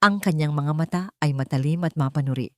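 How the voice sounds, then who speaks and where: A woman narrating a drama audiobook in an expressive storytelling manner. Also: deep voice, a little fast, no echo, clean studio sound.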